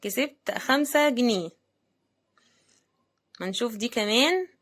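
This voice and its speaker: Higher-pitched Egyptian woman, casual unboxing chat. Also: animated, unhurried, a bit bright. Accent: Egyptian Arabic. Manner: chatty, addresses viewers directly. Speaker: host of product unboxing videos. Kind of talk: casual unboxing commentary to viewers.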